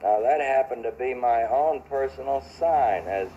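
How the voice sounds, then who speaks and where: A man telling a first-person reminiscence in a measured, wry way with old-fashioned formal phrasing. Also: mid-range voice, mellow, a little hiss or hum. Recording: dull archival radio recording.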